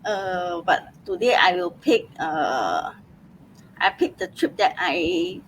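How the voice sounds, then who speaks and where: A woman tells a story at an unhurried pace in a casual live chat. Her pitch rises and falls freely. She halts often to search for the right word. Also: huge pitch swings, creaky, a little hiss or hum, low-quality recording. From Malaysia. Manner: slow, halting, searches for words. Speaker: panelist, digital business owner.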